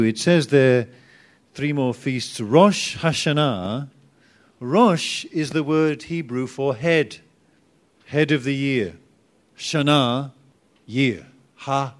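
A man teaching an informal Bible class, chatty and self-deprecating, with sudden bursts of emphasis. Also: medium pitch.

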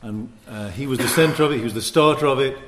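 A man preaching in a teaching sermon, speaking in deliberate, emphatic short phrases with pauses between them. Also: medium pitch, slight background noise. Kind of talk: sermon teaching with scripture and anecdotes.

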